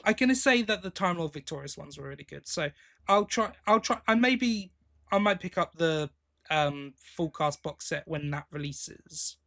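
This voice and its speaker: A man with a slightly high voice talks as a podcast co-host. His delivery is casual and rambling, with hesitations and little questions tacked onto the ends of his thoughts. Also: lively intonation, normal speed, vocal fry, bright, forceful tone. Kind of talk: casual chat about audio drama releases.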